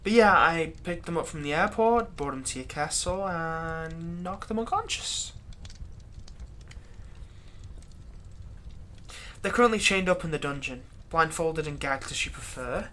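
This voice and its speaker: A man's voice: fairly high pitch, moderate pace, few pauses, bright, forceful tone, a little hiss or hum. A man playing a servile, nervous vampire's thrall in a roleplay audio drama, stammering and deferential. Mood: chatty, uneasy. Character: servile, nervous male vampire's thrall, bitterly eager to please.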